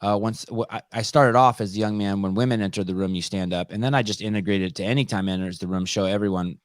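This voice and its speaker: A man from California hosting a podcast in casual conversation, telling animated stories and swearing freely. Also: on the low side, fast talker, dry recording, clean studio sound. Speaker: podcast host.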